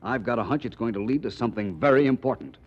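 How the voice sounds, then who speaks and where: A man's voice: medium pitch, fast, over loud music, natural voice. An actor playing a suave, witty detective in 1940s radio drama, polished and articulate in his delivery. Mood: cool, probing. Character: suave, witty, self-assured male amateur detective. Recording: muffled, noisy old radio recording.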